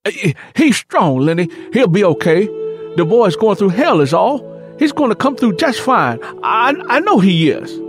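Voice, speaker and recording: A man voicing an anguished but hopeful father in a dramatized audiobook, with halting, pleading reassurance. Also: sits high, very animated, over music, voice pushed up. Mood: strained reassurance.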